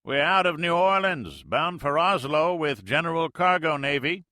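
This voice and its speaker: A man's voice: fairly high pitch, animated, normal speed, sharp tone, dry recording, studio quality, voice pushed up. A man reading an audiobook, voicing a Soviet Army major who fakes a folksy put-on Mississippi drawl over a ship's radio. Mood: folksy bluster. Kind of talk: audiobook character voice.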